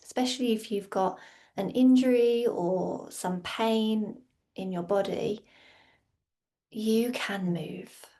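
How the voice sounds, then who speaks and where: A woman leads a guided meditation in a soft, slightly breathy voice of medium pitch. She speaks very slowly, in short soothing phrases. Long, unhurried pauses separate her instructions. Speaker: meditation and yoga teacher.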